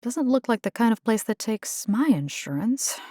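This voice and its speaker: A woman's voice: on the high side, normal speed, dry recording, clean studio sound. A woman voicing a plucky, caring video game combat engineer, casual and wry. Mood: alert, practical. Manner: casual, wry, expressive, slipping into Spanish slang. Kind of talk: video game character voice lines.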